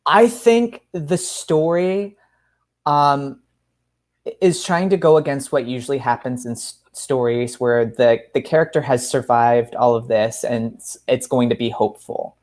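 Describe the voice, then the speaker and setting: A man's voice: medium pitch, unhurried, a little mellow. Thoughtful man, a guest author talking candidly. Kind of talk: conversational interview about writing.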